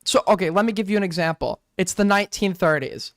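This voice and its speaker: A man's voice: sits high, fast, a bit bright, dry recording, studio quality. A man hosting a stream debate, pressing his points hard, repeating words and talking over the other speaker. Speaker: streamer host. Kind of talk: heated casual debate.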